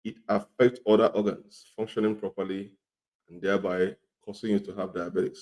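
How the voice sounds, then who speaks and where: A middle-aged man with a cold and a slightly hoarse voice, teaching an informal health lesson, repeating his points and often asking "okay?". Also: lowish voice, flat delivery, a little fast, a little mellow, poor audio. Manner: repetitive, often checks in with "okay?". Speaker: health educator.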